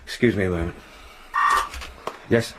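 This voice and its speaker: An actor playing a patient, formal police sergeant questioning a witness. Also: male voice, sits low, measured pace, very noisy. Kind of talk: radio drama acting.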